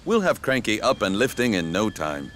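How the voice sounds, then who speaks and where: A genial workshop engine in a children's cartoon. His fairly low voice is reassuring and lively in melody, over faint background noise. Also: normal speed. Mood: friendly, reassuring. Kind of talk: children's cartoon character acting.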